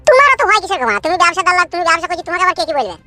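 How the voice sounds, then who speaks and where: Mouthy village kid in a comedy cartoon, sharp squeaky voice, talks crazy fast. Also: female voice, big pitch swings, faint music behind, full character voice. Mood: defiant. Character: cheeky, aggrieved young village lad. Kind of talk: comic cartoon dialogue in rural dialect.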